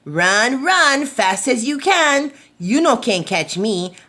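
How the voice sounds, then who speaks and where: A cheeky storybook rice-ball man, played by a woman, crows his taunts in a lively, raised singsong. He is gleeful and mocking, chanting in Pidgin phrasing. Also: fairly high pitch, slow pace, pitched above the performer's own voice, heavily acted. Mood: gleeful taunting. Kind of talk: children's storybook read-aloud.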